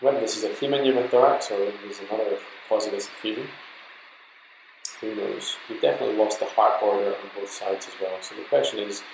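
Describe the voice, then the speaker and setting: A man's voice: lowish voice, brisk, creaky, echoey room, noisy background. A man giving an informal, explanatory slide lecture to trainee doctors, talking them through examples in an instructive way. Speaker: medical lecturer.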